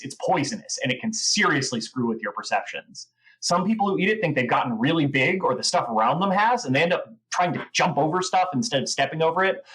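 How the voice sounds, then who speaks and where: A woman's voice: deep voice, fairly bright tone, rough recording. A woman playing a gushing, rambling gnome who is obsessed with mushrooms, in a comedic audio drama. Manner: gushing, rambling, wide-eyed enthusiasm.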